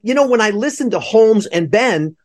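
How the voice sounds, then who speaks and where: A middle-aged man hosting a podcast interview, chatting casually in an animated, rambling way. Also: high voice, moderate pace. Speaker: podcast host interviewing theater guests. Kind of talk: casual chat.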